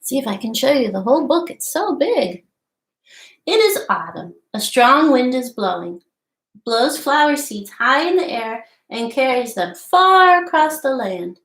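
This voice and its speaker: A middle-aged woman with a fairly high, very animated voice hosts storytime for young children. She explains things simply and reads picture books aloud. The sound is clearly echoey, as from a webcam in a room at home. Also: unhurried. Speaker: storytime host. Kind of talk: casual show-and-tell and picture-book read-aloud for young children. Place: small home room with wainscot walls, indoors.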